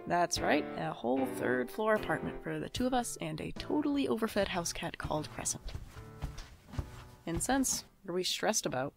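A woman plays a young audio drama slacker with a creaky voice. The delivery is wry and self-deprecating, easily flustered, with music behind it. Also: mid-range voice, animated. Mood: wry, chatty. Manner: self-deprecating, dry asides, flustered when rushed. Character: wry, hapless, anxious twenty-five-year-old nonbinary slacker narrator. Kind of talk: audio drama narration and dialogue.

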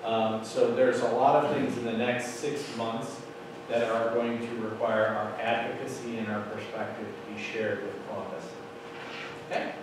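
A man's voice: fairly low pitch, flat delivery, normal speed. A middle-aged man at a podium, explaining policy updates to a school board in a casual, explanatory way with many fillers, recorded from across a large meeting room. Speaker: school administrator presenting to a board. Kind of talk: meeting presentation. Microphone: room mic from far off, standing at a podium. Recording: very echoey room, distant mic, noisy.

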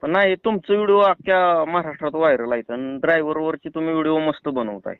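A man's voice: fairly high pitch, quick pace, clear, resonant tone, no room sound. A man with a rural Maharashtrian Marathi accent chatting casually on the phone, persuasive and reassuring. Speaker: prank caller. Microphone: phone line. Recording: tinny phone call recording.

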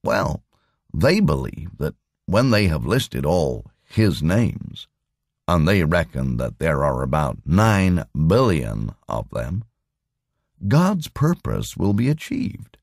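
A man voicing an excitable, worried male computer engineer in an audiobook, talking urgently and conversationally. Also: very deep voice, takes it slowly, vocal fry, no echo, studio quality, full character voice. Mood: explaining, excited.

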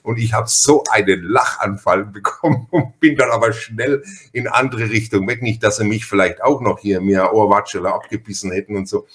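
A man's voice: fairly low pitch, normal speed, few pauses, fairly bright tone. A man from Franconia with a Franconian accent, hosting a casual podcast interview and telling stories in a folksy, chatty, stop-and-start way. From Franconia, Germany. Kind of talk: casual interview chat with storytelling.

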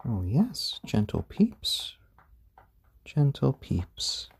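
A man hosting a casual live stream at home on his phone, speaking gently to viewers and to baby chicks. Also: medium pitch, wildly swooping pitch, very slow, creaky, breathy edge. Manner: gentle, soft-spoken, talks to chat and chicks. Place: home room. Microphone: handheld phone.

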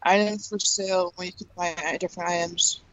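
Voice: medium pitch, little pitch movement, a little fast, a bit bright, dry recording, rough recording. A gamer on voice chat who teases and explains the game's commands. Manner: teasing, explains game commands. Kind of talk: casual chat while playing.

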